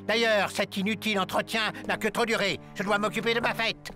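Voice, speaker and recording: An actor playing a pompous, irritable, tyrannical count in a cartoon, haughty and peevish, with music behind him. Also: male voice, high-pitched, steady tone, brisk, natural voice. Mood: outraged. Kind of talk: cartoon voice acting.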